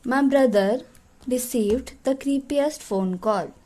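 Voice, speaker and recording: Lady reading a spooky story out on a podcast, slow and mellow, laughs a bit. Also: sits high. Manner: unhurried storytelling with small laughs. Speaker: podcast co-host. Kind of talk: reading listener stories aloud.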